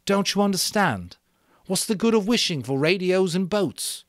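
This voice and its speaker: Man voicing an earnest, urgent schoolboy leader, pitched up. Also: animated, moderate pace, no echo, clean studio sound. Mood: pleading, urgent. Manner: urgent, emphatic pleading. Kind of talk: dramatic audiobook character reading.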